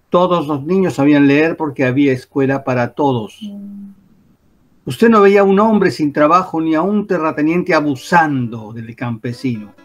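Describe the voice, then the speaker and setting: Casting: slightly high male explainer, steady and patient, guitar behind. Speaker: narrator explaining history. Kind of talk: radio drama narration.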